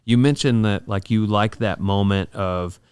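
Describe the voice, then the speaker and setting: Low-voiced chatty male podcast host. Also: measured pace, darkish tone, clean tone, dry recording, clean studio sound. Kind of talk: casual interview chat.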